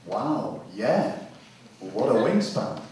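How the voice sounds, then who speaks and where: Male actor as grumpy boyfriend, easily unnerved, highly animated delivery, live story drama, recorded far off in an echoey space. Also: drawn-out words. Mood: dry, uneasy. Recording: distant, very echoey hall, faint noise.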